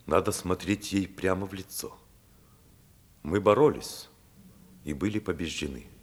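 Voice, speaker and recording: An actor playing an ardent, self-reproaching idealistic politician in a theatrical radio play, moving between hushed and declamatory delivery. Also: male voice, sits low, a little slow. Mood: resigned, ardent. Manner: dramatic, swinging from hushed to declamatory. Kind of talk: theatrical Russian radio drama acting.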